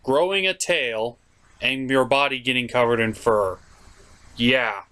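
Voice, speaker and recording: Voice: mid-range voice, measured pace, fairly bright tone. A reviewer giving a scripted comedic movie recap in a sarcastic, mock-exasperated way. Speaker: host reviewer.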